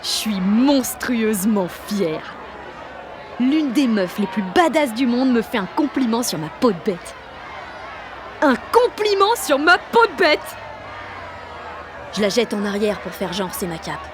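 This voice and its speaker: A woman playing a brash, excitable sixteen-year-old girl with grandiose ideas, narrating an audio drama in slangy, dramatic first person. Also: fairly high pitch, measured pace, pauses often, noisy background. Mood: proud, excited. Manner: slangy, cocky, dramatic teenage delivery. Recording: music and street crowd behind the voice.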